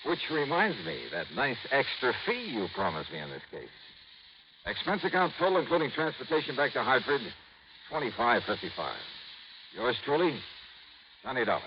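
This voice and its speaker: An actor playing a wry, probing hardboiled insurance investigator in a 1950s radio drama, questioning in a clipped, needling way. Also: male voice, mid-range voice, wildly swooping pitch, moderate pace. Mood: wry narration. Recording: muffled, noisy vintage 1950s radio recording.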